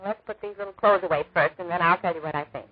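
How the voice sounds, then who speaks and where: An actress playing a middle-aged, gently scheming wife and mother in 1940s radio drama, with a tactful, coaxing manner. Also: lowish voice, racing, lightly acted. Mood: confiding. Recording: noisy, tinny 1940s radio recording.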